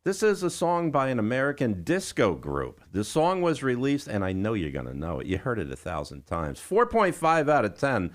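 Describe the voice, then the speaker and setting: A male radio host presents music trivia in an upbeat, emphatic announcer style. His pitch swings widely from high punches to low asides, and he keeps a jokey manner throughout. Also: wildly swooping pitch, normal speed, hardly any pauses. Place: radio studio.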